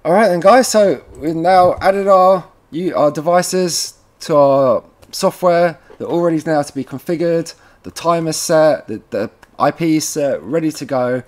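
A man presenting a casual, informal step-by-step software tutorial, talking to viewers like mates as he works at a computer. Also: a little high, a little slow, hardly any pauses. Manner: informal, addresses viewers as guys.

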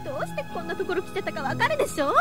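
Anguished, very high-voiced teenage girl. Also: sing-song, very fast. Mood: bitter. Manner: emotional, defiant. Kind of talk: anime-style audio drama acting. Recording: music under the voice.

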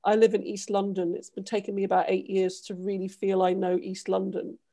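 A middle-aged woman from Trinidad and Tobago talks on a video call through a headset. She speaks in an animated, gesturing way, with ums and restarts. Her voice sits at a middle pitch. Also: brisk. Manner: animated, gestures while talking, many ums. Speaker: panel guest author. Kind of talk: casual panel discussion. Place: home room, video call.

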